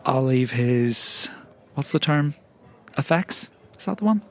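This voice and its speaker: A man plays a glum male agent weighed down by guilt. His medium-pitched voice is hesitant and earnest, with a downcast deadpan. It is improvised roleplay in a tabletop game podcast. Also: slow. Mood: glum, remorseful.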